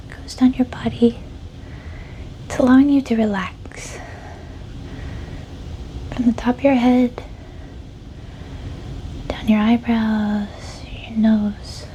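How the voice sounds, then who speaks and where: A woman leading a guided meditation in a soft-spoken ASMR style, gentle and unhurried, with a breathy voice that often drops close to a whisper. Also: on the high side, a little hiss or hum, low-quality recording. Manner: gentle, unhurried, soothing instruction.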